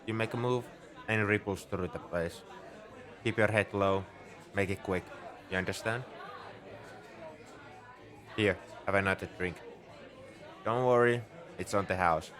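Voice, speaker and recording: A man's voice: fairly low pitch, unhurried, pauses often, lightly acted. A man playing a shrewd, easygoing bartender at a space outpost in a conversational, knowing ASMR roleplay monologue. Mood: low-key, cautioning. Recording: crowd chatter behind the voice. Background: bar crowd murmur.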